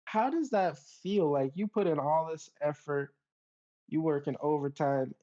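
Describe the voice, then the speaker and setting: A man's voice: a little high, unhurried, dark tone. Man chatting casually, slightly muffled lo-fi sound. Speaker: podcast co-host. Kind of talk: casual group chat.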